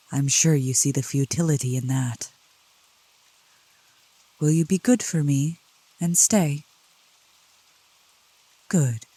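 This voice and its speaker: A woman playing a seductive, protective demon in a soft ASMR roleplay, speaking slowly and coaxingly in a low, gentle voice. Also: breathy edge, no room sound, exaggerated voice. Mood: soothing, tender. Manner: slow, deliberate, coaxing, with pet names. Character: seductive, protective female demon, soothing yet scornful of cultists. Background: rain.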